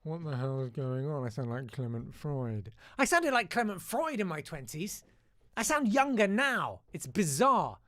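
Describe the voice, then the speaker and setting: A man's voice: on the high side, very animated, moderate pace, fairly bright tone, no echo, studio quality. A middle-aged British man hosting, chatting to viewers in a rambling, self-mocking way. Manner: rambling, self-mocking, lots of fillers and sighs. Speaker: host. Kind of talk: casual self-deprecating chat to viewers.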